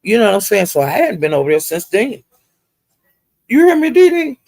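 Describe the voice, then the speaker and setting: A woman's voice: medium pitch, very animated, normal speed, darkish tone, no room sound, clean studio sound. An older woman, chatting casually to live viewers as a livestream host in a folksy, rambling, salty-tongued way.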